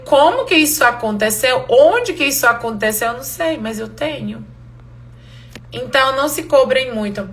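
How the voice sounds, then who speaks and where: Hungarian-accented teacher lady, bright tense voice, chatty live class on her phone. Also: high-pitched. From Romania. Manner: lively, switching between Portuguese and English, laughing often. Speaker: language teacher.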